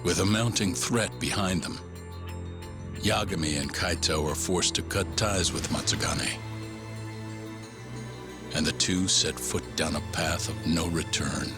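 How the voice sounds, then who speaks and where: Deep, solemn crime-story narrator. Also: male voice, measured pace, long pauses, music in the background. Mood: grave, ominous. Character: grave male narrator recapping a crime story. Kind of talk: scripted dramatic recap narration.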